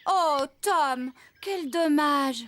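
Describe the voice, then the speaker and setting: Kind caring farmer lady, really high voice, gentle, kids cartoon. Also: drawn-out words, heavily acted. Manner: gentle, encouraging, expressive. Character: kindly, caring young woman farmer. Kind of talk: children's cartoon dubbing.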